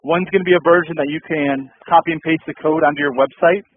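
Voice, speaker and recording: A man's voice: a little high, fairly even intonation, fast. Man on a tinny phone line. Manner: casual, many ums and uhs, repeats points. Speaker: host of a dealer update call. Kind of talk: business update call.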